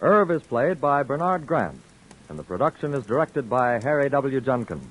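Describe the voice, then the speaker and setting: Brief: male radio announcer, lively rising inflection, formal old-time presentation. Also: medium pitch, normal speed, a little hiss or hum, low-quality recording. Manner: crisp, declamatory presentation.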